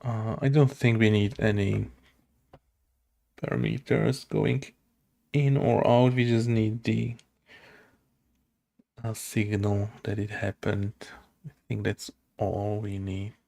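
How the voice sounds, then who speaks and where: Slow, gravelly man thinking aloud. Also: fairly low pitch, little pitch movement, mellow. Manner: hesitant, muttering, many fillers and self-questions. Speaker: game developer coding on stream. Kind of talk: thinking aloud while coding. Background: keyboard typing and clicking.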